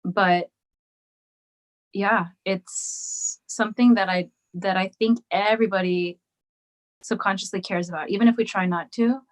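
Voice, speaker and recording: A woman guest on an interview podcast, talking casually and thoughtfully. She hesitates often, restarting her sentences, at an average pitch for a woman. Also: fairly even intonation, unhurried. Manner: reflective, hesitant, many ums and restarts. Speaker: guest musician.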